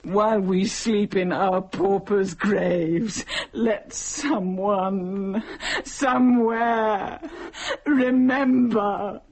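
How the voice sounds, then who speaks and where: An actress playing an anguished woman possessed by a starving mother's spirit, speaking slowly and declaiming in a radio horror drama. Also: medium pitch, steady tone, a little mellow. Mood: anguished, grieving.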